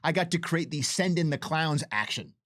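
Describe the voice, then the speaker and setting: A man's voice: fairly high pitch, expressive, normal speed, fairly bright tone, no echo, clean studio sound. A man giving enthusiastic, conversational developer commentary, eagerly breaking off and restarting his thoughts.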